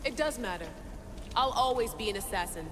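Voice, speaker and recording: A woman's voice: on the high side, animated, brisk, background noise, lightly acted. A woman playing a defiant, headstrong young female assassin with emotional intensity in a video game cutscene.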